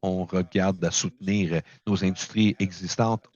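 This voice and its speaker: A man giving a conference talk over a video call, halting, with many fillers, speaking French as a second language. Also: deep voice, steady tone, a little mellow, dry recording, dull audio. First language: not French. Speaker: presenter at a launch event. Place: remote location, speaking in from afar.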